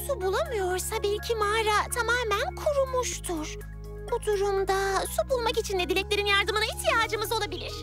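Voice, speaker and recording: A woman's voice: extremely high pitch, a little fast. A woman voicing a confident, cheerful eight-year-old girl adventurer in a children's cartoon. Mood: earnest. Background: children's music.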